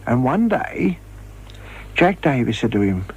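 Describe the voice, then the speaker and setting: An older man with a husky, gravelly voice, telling anecdotes in an animated way. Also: mid-range voice, measured pace. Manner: animated storyteller, acts out dialogue. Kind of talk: reminiscing anecdotes with acted quotes.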